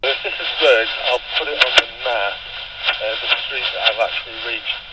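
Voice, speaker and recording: A man's voice: medium pitch, moderate pace, sharp tone, a little hiss or hum, low-quality recording. A man with a British accent giving a casual running commentary over a walkie-talkie radio as he walks. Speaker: product reviewer. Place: outdoors on residential streets. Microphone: handheld walkie-talkie.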